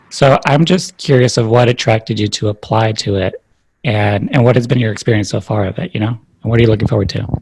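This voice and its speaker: A man's voice: mid-range voice, creaky, darkish tone, breathy edge, low-quality recording. A man hosting a podcast, talking in a reflective, thinking-aloud way with many fillers. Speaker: podcast host. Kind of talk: casual interview chat.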